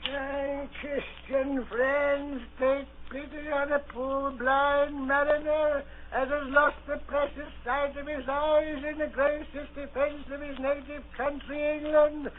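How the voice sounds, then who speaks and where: An actor playing a sinister, soft-spoken blind old mariner in a radio drama, an unctuous beggar with cruelty underneath. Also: male voice, very high-pitched, flat delivery, slow pace, hardly any pauses, phone-quality sound. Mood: wheedling. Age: older. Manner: unctuous begging turning to menace. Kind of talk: 1930s radio acting. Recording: thin, noisy old radio recording.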